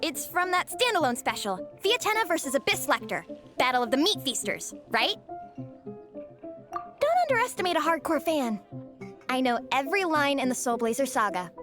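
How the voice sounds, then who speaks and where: A spirited young know-it-all fan, high-voiced and brisk, in an anime game. She sounds teasing and sure of herself over clear background music. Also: very animated. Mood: confident, teasing. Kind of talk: anime-style game voice acting.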